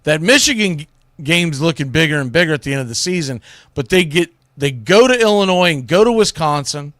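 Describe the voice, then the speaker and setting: A man hosting a sports show, giving casual, opinionated commentary in a conversational, emphatic way with pauses for effect. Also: a little high, expressive, a bit bright, dry recording, studio quality. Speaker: sports radio show host.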